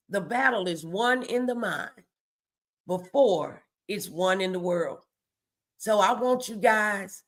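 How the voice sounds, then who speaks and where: A woman's voice: medium pitch, a bit bright. A woman hosting a faith-based motivational livestream, speaking emphatically and repeating key phrases to exhort her listeners.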